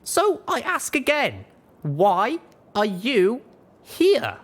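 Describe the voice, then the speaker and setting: A woman's voice: a little high, huge pitch swings, very slow, pitched above the performer's own voice, heavily acted. A woman voicing a feisty young pony mare heroine, stubborn, suspicious and confrontational, in an audiobook story. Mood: accusing, defiant. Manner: confrontational, demanding.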